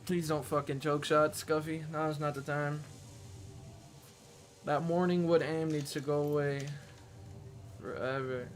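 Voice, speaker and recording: A man's voice: on the high side, level tone, a little slow, long pauses. A man doing live gaming commentary as a streamer, blunt and slangy, cursing casually and grumbling. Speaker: game streamer.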